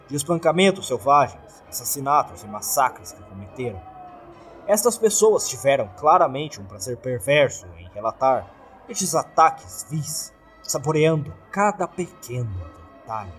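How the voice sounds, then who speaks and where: A man with a Brazilian accent narrates a scripted horror story in a husky, theatrical voice. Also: mid-range voice, fairly bright tone. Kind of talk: scripted dramatic narration of a creepypasta. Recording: background music under the voice. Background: music.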